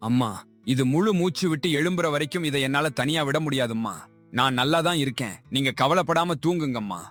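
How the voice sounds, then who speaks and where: A man playing a kind, poor young village goatherd, earnest and emotional, in an animated moral story. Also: a little high, fairly quick, soft background music. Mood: earnest, concerned.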